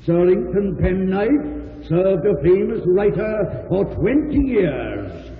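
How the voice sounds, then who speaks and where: A slow, echoing lordly spoon. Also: male voice, high voice, big pitch swings, full character voice. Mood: grave, fair. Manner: formal, ceremonial, deliberate. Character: stately, fair-minded male spoon lord presiding over knights. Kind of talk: 1940s radio acting. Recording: echoey old radio recording, narrow muffled sound.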